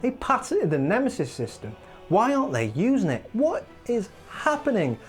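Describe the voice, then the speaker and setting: A man talks through a countdown of upcoming games in a loose, opinionated way, drifting into asides. His pitch sits fairly high and bounces around a lot, and his voice sounds a little worn. Faint music plays behind him. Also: normal speed. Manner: opinionated, rambling, lively asides. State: voice wearing thin. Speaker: gaming video host. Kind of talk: casual countdown commentary.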